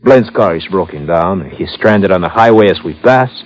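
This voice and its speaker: Casting: male ex-tennis champ chauffeur, sly and jovial, deepish voice, old radio drama, dulled sound. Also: expressive. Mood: sly, insinuating. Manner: chuckling, halting, foreign phrasing. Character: genial, sly, fat South American ex-tennis champion chauffeur. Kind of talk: old-time radio detective drama acting. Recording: muffled old-time radio recording.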